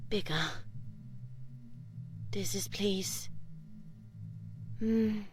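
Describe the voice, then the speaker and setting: A woman voicing a seductive, curious slime creature in an intimate audio roleplay, speaking soft, halting broken English in short fragments, often whispering. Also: mid-range voice, noisy background. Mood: coaxing, curious.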